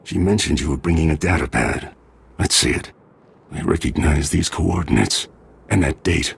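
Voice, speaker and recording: An actor playing a stern, worried older nobleman and father in a video game, with a very deep, gravelly, husky voice. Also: animated, moderate pace, slight background noise, heavily acted. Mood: intent. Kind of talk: dramatic video game acting.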